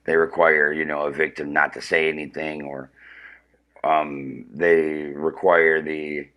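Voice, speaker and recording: Man, very low pitch, forceful, powerful voice, profane rambling comedy rant. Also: level tone, unhurried, clean tone. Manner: profane, rambling, restarts often. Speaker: comedian livestreaming.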